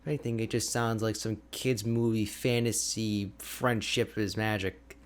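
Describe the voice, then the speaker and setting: A man playing an energetic, boastful, chatty ice mage hermit in an ASMR roleplay, with a playful, expressive, conversational delivery. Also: lowish voice, moderate pace. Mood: chatty, opinionated. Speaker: audio roleplay voice actor.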